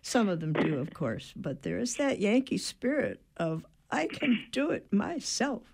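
A radio interviewer with a strongly gravelly, creaky voice. The host speaks calmly, setting up questions for a guest. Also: mid-range voice, a little slow.

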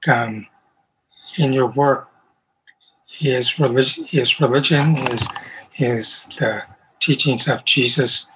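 A man interviewing a guest, asking long, measured questions that trail along. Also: medium pitch, a bit bright, breathy edge, poor audio.

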